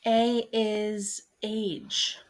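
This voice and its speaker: Thirtysomething woman teaching a lesson, slow and chatty, lots of ums and little asides. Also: mid-range voice, little pitch movement. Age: 30s. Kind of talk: recorded online lesson. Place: at home, seated at a desk.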